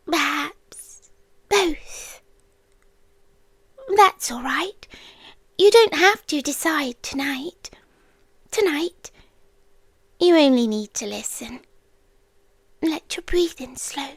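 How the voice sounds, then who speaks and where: British lady doing an ancient possessive yandere creature for asmr, high soft voice, really slow, half whispered, tenderly menacing. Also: no echo, exaggerated voice. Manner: hushed, deliberate, teasing, slipping into whispers. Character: ancient powerful inhuman stalker woman, possessive, tenderly menacing. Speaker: ASMR roleplay performer. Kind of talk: intimate ASMR roleplay, close and slow.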